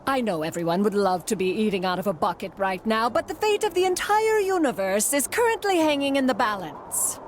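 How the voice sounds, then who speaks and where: A woman's voice: fairly high pitch, lively intonation, a little fast, few pauses, a little hiss or hum, lightly acted. A woman playing a dramatic, mystical fortune teller in a cartoon game, grandiose in her delivery. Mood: impatient. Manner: theatrical, grandiose.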